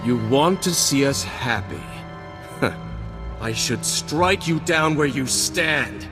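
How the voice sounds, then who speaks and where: A man's voice: on the high side, expressive, slow. A man playing a stern, conflicted middle-aged Jedi knight in a video game, speaking in a solemn, formal way. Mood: angry, accusing. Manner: solemn, formal, weighty delivery. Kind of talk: dramatic video game acting. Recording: long hall reverb, music behind.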